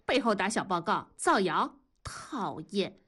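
A woman voicing a sulky, defiant young schoolgirl who whines and protests loudly in an audio drama. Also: medium pitch, sing-song, a little slow, husky, exaggerated voice. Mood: sulky, resentful. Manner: whiny, loud protests.

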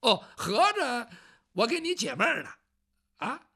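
Brief: a storyteller plays a gabby, fussy old eunuch, pitch swooping everywhere. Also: huge pitch swings, a little slow, sharp tone, husky, full character voice. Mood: chatty. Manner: talkative, nagging, teasing. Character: chatty, fussy palace eunuch, older man. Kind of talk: traditional Chinese storytelling.

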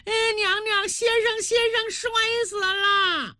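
A woman's voice: very high voice, fairly even intonation, slow, bright, forceful tone, no echo, studio quality, pitched above the performer's own voice, heavily acted. A woman storyteller voicing a frightened little child who urgently calls out that trouble has happened. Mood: panicked. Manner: urgent, stammering cries. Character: panicked little child running to report trouble.

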